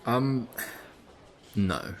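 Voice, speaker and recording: A man's voice: mid-range voice, expressive, very slow, a little hiss or hum. A man acting in an audio drama as a firm, resigned police investigator who explains things bluntly.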